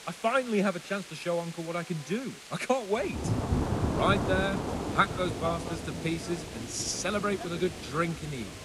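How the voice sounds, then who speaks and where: An actor playing a roguish, eager young lord in a medieval video game, sounding cocky. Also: male voice, high voice, animated, fairly quick, over a noisy crowd.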